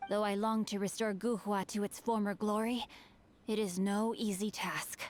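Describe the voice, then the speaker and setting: A woman's voice: mid-range voice, fairly even intonation, normal speed, a little mellow, faint music behind, close to an everyday voice. A woman voicing an earnest, bookish young male swordsman who speaks in formal, old-fashioned phrases and quotes proverbs. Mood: calm. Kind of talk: video game character dialogue.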